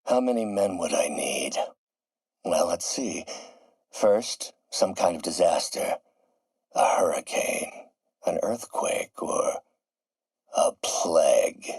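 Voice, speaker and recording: An actor voicing a grandiose, middle-aged scientist turning villain in a video game, theatrical and erudite, with precise enunciation. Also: male voice, deep voice, lively intonation, slow pace, vocal fry, long pauses, darkish tone, slightly rough, dry recording, full character voice. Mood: sinister scheming. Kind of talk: video game voice acting, dramatic monologue.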